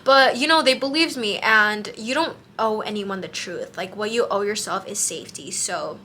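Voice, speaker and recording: Bright-voiced young woman vlogger. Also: medium pitch, moderate pace. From United States. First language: Spanish. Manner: chatty, many fillers and restarts. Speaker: travel vlogger. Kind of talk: casual advice vlog.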